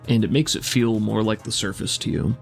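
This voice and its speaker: A man running a tabletop role-playing game as game master, narrating scenes in a relaxed, descriptive way. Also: lowish voice, a little fast, gravelly, faint music behind.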